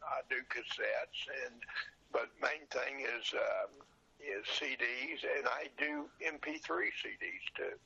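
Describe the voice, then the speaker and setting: An older man chatting casually as an interview guest, repeating phrases for emphasis, with a gravelly, husky voice on a lo-fi connection. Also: mid-range voice, huge pitch swings, slow pace, sharp tone. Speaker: podcast guest, old radio show dealer. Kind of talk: casual chat.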